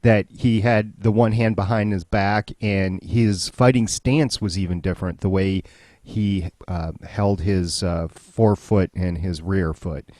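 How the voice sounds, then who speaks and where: A man's voice: sits low, fairly even intonation, a little slow, warm tone, no room sound, clean studio sound. A man hosting a podcast, chatting casually and jokily about television. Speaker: podcast host. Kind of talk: casual TV recap chat.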